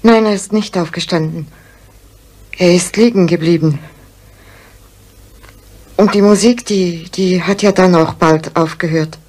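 A bedridden wife in a 1970s radio crime drama. Her voice is a little low. She answers briefly and hesitantly, protective of her husband. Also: measured pace, pauses often, darkish tone. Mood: hesitant, insistent.

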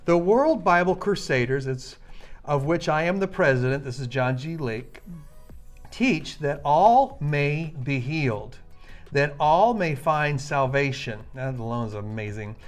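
A man preaching with enthusiasm, reading a Bible passage slowly and emphatically. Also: fairly high pitch, lively intonation, soft background music. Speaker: minister.

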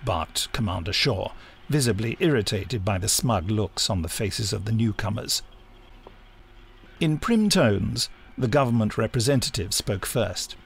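A man's voice: on the low side, expressive, unhurried, vocal fry, breathy edge. A man reading a scripted adventure story as a dramatic audiobook narrator.

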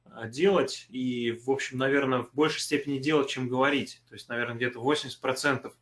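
A man's voice: mid-range voice, fairly even intonation, fast talker, a bit bright. Hesitant young man on a call. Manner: hesitant, many fillers. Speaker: composer. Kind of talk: casual video call chat.

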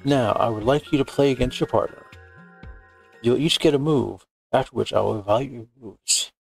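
A man's voice: medium pitch, normal speed, creaky, breathy edge, soft background music. A man hosting a game playthrough, reading visual novel text aloud and adding dry, joking commentary. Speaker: gaming video host.

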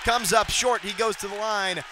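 A young man calling radio basketball play-by-play, rattling off plays and shouting on big moments. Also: sits high, a faint crowd, slight background noise. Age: young adult. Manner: rapid excited calls, shouting on big plays. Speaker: play-by-play announcer.

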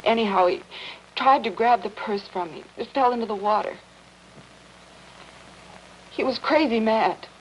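A woman's voice: fairly high pitch, measured pace, pauses often, a little hiss or hum, lightly acted, low-quality recording. A young American woman playing an anxious, earnest wife in a melodramatic 1950s TV drama. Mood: distraught. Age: young adult.

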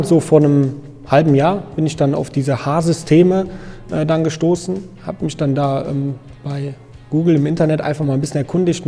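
Thirties guy chatting in a roomy studio, mellow voice, soft music behind. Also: on the high side. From Germany. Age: 30s. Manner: hesitant, many fillers. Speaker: guest. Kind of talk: casual interview chat. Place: photo studio with grey backdrop.

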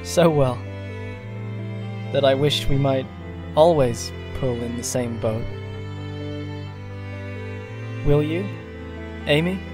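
An actor playing a devoted, courteous young gentleman suitor in a period audio drama. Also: medium pitch, slow, music in the background, close to an everyday voice. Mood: hopeful, loving. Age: young adult.

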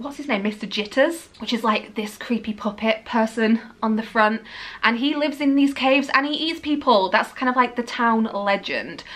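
A young woman from Yorkshire with a Lincolnshire English accent, chatting casually about books in her living room, animated and laughing often. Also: on the high side. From Yorkshire, England. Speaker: book vlogger.

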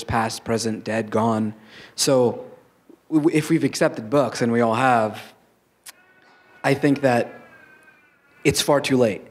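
Young male panelist, frank and measured, handheld mic on stage. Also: medium pitch. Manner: frank, measured, reasoning aloud. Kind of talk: panel discussion. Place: stage in a large auditorium.

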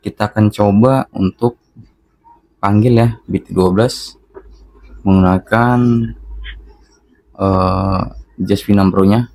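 A man's voice: lowish voice, steady tone, slow pace, long pauses, a little mellow. A man narrating a casual hands-on product tutorial, talking instructively to his viewers. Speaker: product reviewer.

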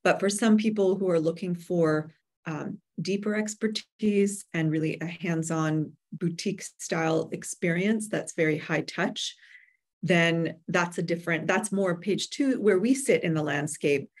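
A woman in her forties giving measured, explanatory answers as an expert guest on a webinar video call. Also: on the low side. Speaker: guest expert, hybrid publisher. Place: room with bookshelves at home or office, indoors. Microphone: computer video call.

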